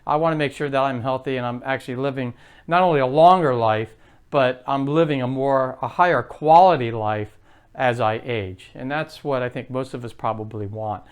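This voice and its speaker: A man's voice: medium pitch, moderate pace, clear, resonant tone. A man in his late fifties hosting his own health vlog, chatty and rambling, with lots of fillers and restarts. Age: 50s. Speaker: health vlogger. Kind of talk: casual to-camera vlog.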